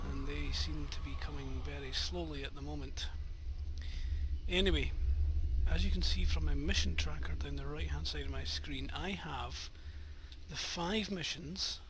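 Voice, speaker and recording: A man casually commentating a game as a video host, chatty and relaxed with bursts of enthusiasm. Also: fairly high pitch, unhurried, background noise.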